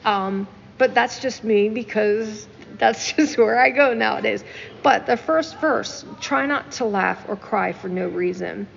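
A woman's voice: mid-range voice, unhurried, vocal fry, in a large hall, dull audio, a little hiss or hum. A woman co-hosting a casual talk show, discussing music emphatically and repeating phrases for stress. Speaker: podcast co-host. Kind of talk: casual discussion of song lyrics.

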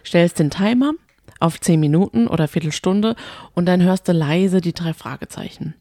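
A woman's voice: lowish voice, moderate pace, dark tone, no echo, studio quality. A woman co-hosting a podcast, chatting casually in a teasing, playful way. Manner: teasing and playful, laughs often. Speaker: podcast co-host. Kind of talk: casual chat.

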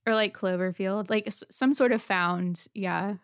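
A woman hosting a podcast in casual interview chat, with a quiet voice, chatty and quick to laugh. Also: medium pitch, clean tone. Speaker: podcast host.

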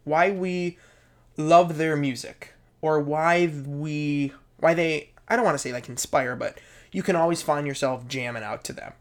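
A man's voice: on the high side, measured pace. A man co-hosting a casual podcast, chatting eagerly and repeating words for emphasis. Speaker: podcast co-host. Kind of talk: casual chat.

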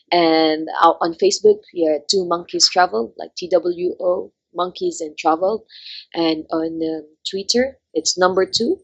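A woman's voice: fairly low pitch, creaky, breathy edge, dull audio. A woman, English not her first language, chatting as a travel blogger guest in an interview, with many fillers and frequent laughs. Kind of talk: casual interview chat.

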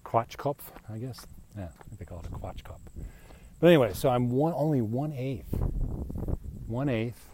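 A man's voice: mid-range voice, normal speed, long pauses, mellow, slight background noise. A man in his fifties talking casually on a solo podcast, rambling and self-deprecating, often tossing in "right?". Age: 50s. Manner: chatty, self-deprecating, frequent "right?" asides.

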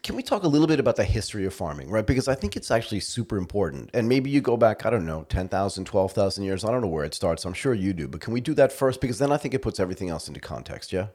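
A man's voice: on the low side, sing-song, racing, few pauses, dark tone, breathy edge. A man hosting a podcast, asking curious, enthusiastic questions. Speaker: podcast host. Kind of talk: podcast interview.

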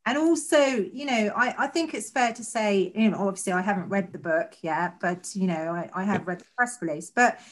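A woman's voice: mid-range voice, moderate pace, hardly any pauses, low-quality recording. Woman interviewer, chatty tag questions. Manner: friendly, tag questions, many fillers. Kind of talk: conversational interview.

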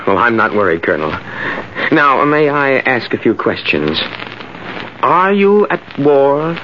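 An actor playing a curious, earnest time traveler in an old-time radio drama, polite and inquisitive. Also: male voice, mid-range voice, very animated, unhurried. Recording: noisy, muffled vintage radio recording.

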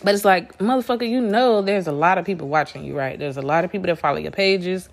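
A woman with a lowish voice and a slight Southern US lilt, venting on her solo podcast. She rambles, restarts her sentences and swears freely, sounding a little stuffed up from allergies. Faint music sits underneath her. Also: quick pace, vocal fry. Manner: blunt, profane, rambling with restarts. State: congested from allergies. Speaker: podcast host. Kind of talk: casual solo podcast rant.

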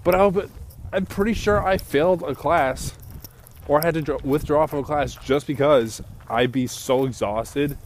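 A man's voice: a little high, moderate pace, slight background noise. A young man co-hosting a podcast, telling stories in casual chat, acting out quotes and laughing often. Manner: animated storytelling, laughing, acts out quotes. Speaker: podcast co-host.

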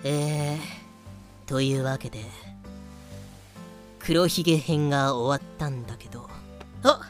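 A woman in a fan audio drama playing a talkative pirate crew member with casual, expressive speech. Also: extremely low pitch, slow pace, faint music behind. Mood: flustered, scolding. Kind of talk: Japanese fan-made audio drama acting.

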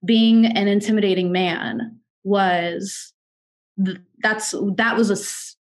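A woman's voice: mid-range voice, steady tone, slow pace. Earnest young woman telling her story. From Kansas City, United States. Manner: earnest, articulate, emphatic, frank. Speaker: interview guest, music artist and executive. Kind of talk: candid conversational storytelling.

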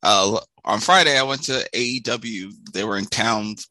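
A man co-hosting a podcast in casual chat, with a nasal voice still recovering from a hoarse week. He talks haltingly and restarts his phrases. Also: on the low side, noisy background. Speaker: podcast co-host.

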